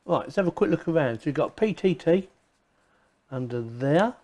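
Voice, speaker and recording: A man with a soft, mellow voice talks through a gadget in an informal hands-on style. He sounds like he is thinking aloud, chatting casually to the viewer as he works things out. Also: a little high, lively intonation, normal speed. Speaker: video presenter reviewing gadgets. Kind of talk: casual hands-on unboxing commentary.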